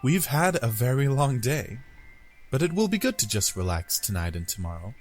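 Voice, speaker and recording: Tired but content young man voiced by a man, animated intonation, game dialogue. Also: mid-range voice, normal speed, soft background music, natural voice. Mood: relieved.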